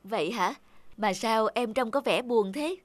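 A woman playing a kind young author in a cartoon, calm, reassuring and instructive. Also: fairly high pitch, a little slow, dry recording, close to an everyday voice. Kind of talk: animated cartoon dubbing.

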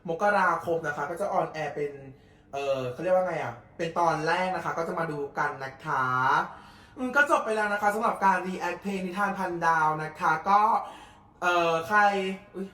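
A woman hosting a reaction video, chatting casually in a gushing, excitable way with little squeals. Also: fairly low pitch. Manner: gushing, excitable, with frequent squeals and drawn-out particles. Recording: echoey, roomy sound.

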